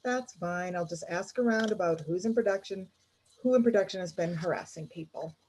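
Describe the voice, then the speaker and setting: A woman's voice: on the low side, a little fast, darkish tone, natural voice, low-quality recording. Actress as a breezy, imperious woman boss, faint noise. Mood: breezy, dismissive. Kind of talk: comedic script table read.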